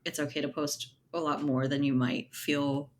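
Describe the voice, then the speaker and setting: Female interview guest, low register, conversational and thinking aloud, faint room noise behind. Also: level tone, normal speed. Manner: conversational, thinking aloud, often tags points with "right?". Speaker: guest, writer and editor. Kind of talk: casual podcast interview chat.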